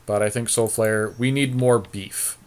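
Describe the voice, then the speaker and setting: A man's voice: on the low side, steady tone, unhurried, clean tone. A man co-hosting a podcast, chatting informally and thinking aloud as he weighs choices during a card game. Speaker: podcast co-host. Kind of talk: casual chat during a card game draft.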